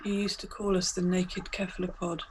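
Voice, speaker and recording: A woman leads a guided meditation as scripted, poetic narration. Her pitch ranges very widely as she tells it deliberately and immersively. The recording has clear ambient noise around the voice. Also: level tone, low-quality recording. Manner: deliberate, immersive second-person storytelling. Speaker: meditation guide, multimedia artist.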